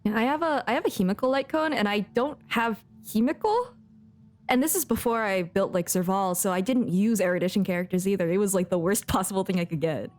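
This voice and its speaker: A woman's voice: medium pitch, a little fast, darkish tone. A woman streaming a video game, chatting casually in a playful, giggly, rambling way. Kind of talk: casual livestream chat while playing a game.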